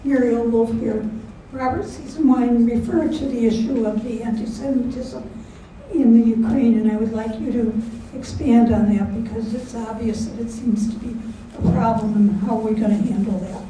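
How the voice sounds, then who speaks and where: A woman's voice: a little high, steady tone, normal speed, mellow. A woman in an audience putting a question to a speaker from the floor. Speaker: audience member asking a question. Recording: very echoey room, distant mic, faint noise.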